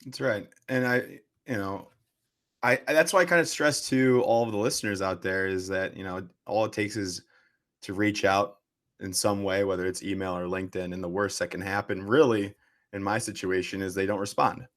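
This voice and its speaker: A man's voice: fairly low pitch, steady tone, normal speed, fairly bright tone. A young man in his twenties hosting a podcast interview, eager but hesitant, with many fillers. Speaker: podcast host, student. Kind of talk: casual interview conversation.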